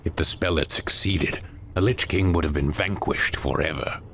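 Deep, brooding demon lord. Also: male voice, normal speed, fairly bright tone, a little hiss or hum. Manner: brooding, portentous, commanding. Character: brooding, commanding exiled demon lord, grandiose. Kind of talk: dramatic fantasy game cutscene acting.

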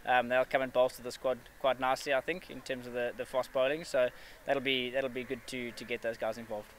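A man in his thirties talks fast in an even, flat tone, stopping often on fillers and restarting his sentences. He answers post-match questions outdoors, with faint background noise behind him. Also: mid-range voice. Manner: measured, many fillers and restarts. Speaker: cricket player at a press conference. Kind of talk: post-match press interview. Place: outdoor press area with a backdrop.

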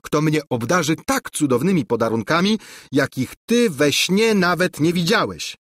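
A man's voice: lively intonation, moderate pace, a bit bright, no room sound, studio quality. A man voicing a haughty, spoiled young princess who shrieks in anger, reading a storybook aloud. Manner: shrill, shrieking when angry.

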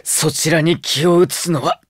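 Earnest, solemn young warrior. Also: male voice, a little high, steady tone, measured pace, no echo, clean studio sound. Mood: firm, protective. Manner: solemn, formal. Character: earnest, protective young male demon martial artist. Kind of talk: anime game voice acting.

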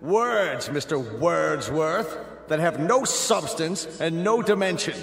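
An actor playing a cold, pompous state official who presides over a tribunal in a radio drama, speaking in emphatic oratory. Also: male voice, a little high, lively intonation, slow, creaky, fairly bright tone, full character voice. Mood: contemptuous. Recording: echoey hall, music underneath.

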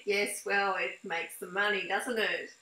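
Female interviewer, middle-aged. Crisp bright tone, slightly high, in an echoey room on a video call. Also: flat delivery, unhurried. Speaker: interviewer, host. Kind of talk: conversational interview with scripted introduction. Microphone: device mic on a video call.

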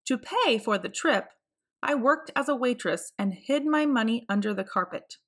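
A woman with a fairly high voice narrates a children's picture book. She reads in an expressive storytelling style, phrasing each line clearly for young listeners. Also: moderate pace. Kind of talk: read-aloud storybook narration.

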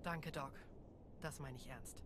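Female Jedi for a game: low voice, earnest, determined, noisy ambience behind. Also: a little slow. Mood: sincere. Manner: earnest, composed. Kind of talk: dramatic game cutscene acting.

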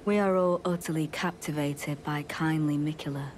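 An actress playing a solemn, devout female knight in a video game, with measured, formal, archaic phrasing and a courteous but coldly zealous manner. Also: deep voice, steady tone, slight background noise, close to an everyday voice. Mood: composed, courteous. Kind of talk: scripted video game dialogue.

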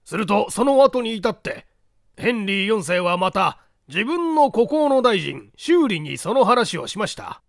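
Man playing a high-voiced, courteous castle owner. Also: sing-song, vocal fry, dry recording, clean studio sound, exaggerated voice. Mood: courteous, explanatory. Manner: formal, measured storytelling. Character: courteous male castle owner, explaining old secrets.

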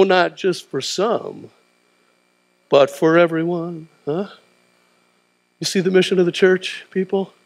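A man's voice: a little high, vocal fry, darkish tone. A middle-aged man preaching a sermon in a large church auditorium, prodding the crowd with questions like "huh?" as he paces the stage. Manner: call-and-response preaching, tag questions like "huh?". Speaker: pastor.

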